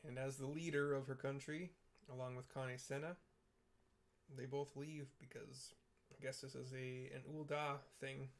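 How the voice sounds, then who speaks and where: A man's voice: mid-range voice, moderate pace, gravelly. A man narrating game cutscenes in a casual, plain-spoken way. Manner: flat, plain-spoken describing.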